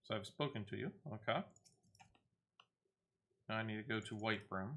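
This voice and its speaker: A man's voice: fairly low pitch, moderate pace, pushed and bright. A man giving casual gameplay commentary, partly muttering to himself and partly reading quest text aloud as he plays. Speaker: gaming video host.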